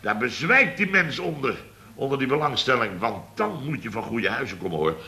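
An actor playing a blustering, indignant boss of a building firm in a Dutch radio comedy, exclaiming and grumbling. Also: male voice, medium pitch, sing-song, brisk, pushed and bright, heavily acted. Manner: exclamatory, grumbling, tags sentences with "hè" and "zeg".

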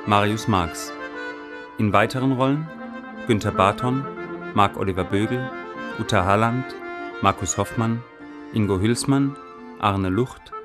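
A male radio announcer with a fairly low voice reads out drama credits. His delivery is formal and orderly, set over clearly audible music. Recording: music bed behind the announcer.

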